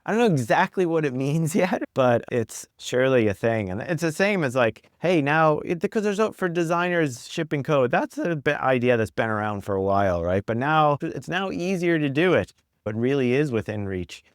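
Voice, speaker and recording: A man's voice: fairly high pitch, lively intonation, moderate pace, no echo, studio quality. A man speaking as a podcast guest, chatting casually and acting out remarks as he explains his thinking. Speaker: podcast guest, product executive. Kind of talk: casual interview conversation.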